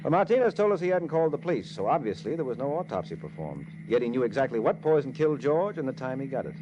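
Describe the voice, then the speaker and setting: An actor playing a wry, self-assured American private detective in a 1950s radio drama. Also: male voice, mid-range voice, big pitch swings, lo-fi sound, natural voice. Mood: explaining coolly. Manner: wry, slangy, brisk. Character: wisecracking, self-assured male private detective, cool and flirtatious. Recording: noisy old radio recording with hum.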